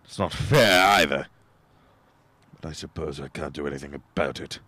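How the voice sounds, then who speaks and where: A man's voice: very deep voice, normal speed, sharp tone, no echo, full character voice. A man playing a grumpy, miserly old employer in a radio play, curt and scornful, grumbling and barking orders. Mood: grudging, scornful.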